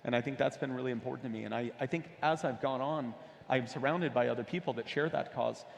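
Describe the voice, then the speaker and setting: A man's voice: medium pitch, fast talker, creaky. A man from the United States speaking as a panel guest, telling his personal story earnestly and with emphasis. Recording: reverberant hall with background noise.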